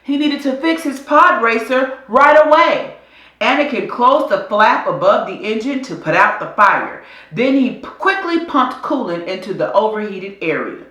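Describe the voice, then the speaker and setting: Expressive woman reading a story, roomy sound. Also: fairly high pitch, measured pace. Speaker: storybook reader. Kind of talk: dramatic scripted children's story narration.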